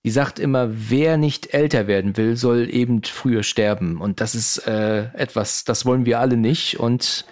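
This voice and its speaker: A man in his forties, a guest on a podcast, talking in a hesitant way with many pauses and filler sounds. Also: medium pitch, a little mellow. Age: 40s. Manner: hesitant, many "ähm" pauses, easy laughs. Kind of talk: casual podcast conversation.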